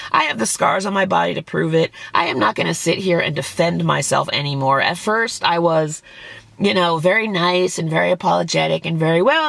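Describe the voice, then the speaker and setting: Hoarse, indignant woman with gravelly voice. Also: fairly low pitch, fairly bright tone, dry recording. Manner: indignant, blunt, swears when angry. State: hoarse, losing her voice. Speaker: vlogger responding to a critic. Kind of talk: defensive reaction video commentary.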